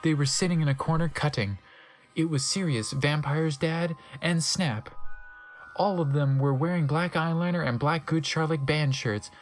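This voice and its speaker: Male narrator, fairly high-pitched, theatrical and mocking, comedic reading with wry asides, music behind. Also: moderate pace.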